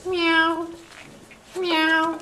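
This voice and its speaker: A woman's voice: very high voice, level tone, drawn-out words, pushed and bright, reverberant, slight background noise, voice pushed up, exaggerated voice. A woman voicing a small sad pet cat in a puppet show. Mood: plaintive meow.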